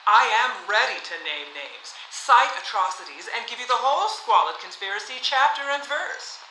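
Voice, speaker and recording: A sardonic young Hollywood woman, played by an actress, makes grand, defiant speeches in a period radio drama. The sound is roomy, with clear noise behind her. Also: fairly high pitch, lively intonation, normal speed, sharp tone. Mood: sardonic, cool. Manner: sarcastic, grandly rhetorical. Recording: roomy, noisy hall sound.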